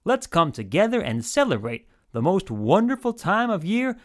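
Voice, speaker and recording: A man's voice: high-pitched, sing-song, normal speed. A man hosting an old-time radio game show, announcing like a showman and addressing listeners directly.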